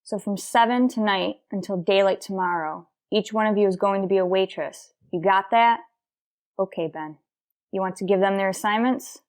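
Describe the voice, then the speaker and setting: A woman's voice: medium pitch, normal speed, natural voice. A woman playing a terse, dutiful police detective in an old-time radio crime drama, clipped and matter-of-fact. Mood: brisk, commanding. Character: terse, dutiful female police detective narrating her case.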